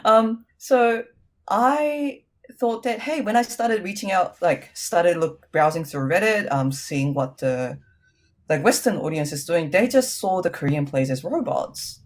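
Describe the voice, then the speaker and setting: A woman with a New Zealand accent, the guest in a casual interview, talking with many hesitant ums and lively rises in pitch. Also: measured pace.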